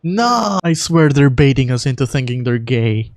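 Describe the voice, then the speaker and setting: A man's voice: medium pitch, animated, moderate pace, no echo. A man giving casual, irreverent commentary as a video creator between takes of amateur voice acting.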